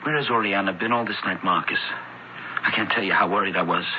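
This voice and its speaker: A man's voice: low voice, fast, close to an everyday voice. An actor playing a devout, worried young Roman man in a radio drama, speaking formally and earnestly. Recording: muffled, faintly noisy radio broadcast.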